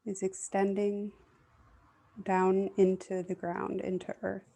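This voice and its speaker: Woman doing a guided meditation, mellow and slow, gentle, lots of long pauses. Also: mid-range voice, completely flat delivery, gravelly.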